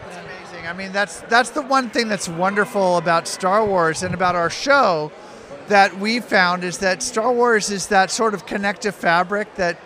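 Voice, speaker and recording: Podcast host, man, high and bright voice; enthusiastic, rambling questions; noisy hotel bar. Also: normal speed. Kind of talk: casual bar interview. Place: busy hotel bar at night.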